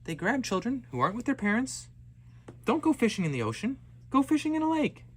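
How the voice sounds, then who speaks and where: A man's voice: very high voice, big pitch swings, a little fast, slightly rough, pitched above the performer's own voice, exaggerated voice. A man voicing a firm mother warning her child.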